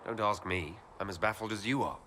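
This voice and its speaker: A man's voice: lowish voice, a little fast, pushed and bright, slight background noise. An actor playing an earnest, idealistic male hero in a fantasy video game, speaking directly. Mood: sympathetic.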